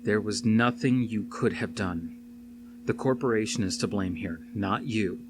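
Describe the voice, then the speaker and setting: A man's voice: lowish voice, measured pace, background noise, lightly acted. A man voicing an earnest, determined, wounded action hero in an audiobook, speaking firmly and insistently. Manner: firm, insistent.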